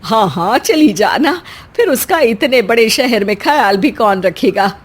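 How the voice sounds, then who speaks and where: A woman doing a sharp-tongued village mother. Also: on the high side, expressive, a little slow, husky. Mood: doting.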